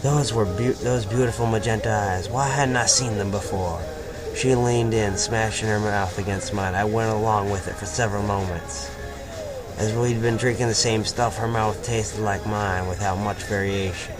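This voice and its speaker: Low-voiced man narrating a story. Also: steady tone, normal speed, music in the background, poor audio. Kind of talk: first-person fan fiction narration.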